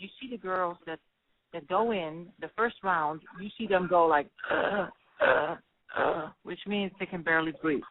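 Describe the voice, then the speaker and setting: A woman boxing trainer talking emphatically in a phone interview. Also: on the low side. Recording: tinny phone line.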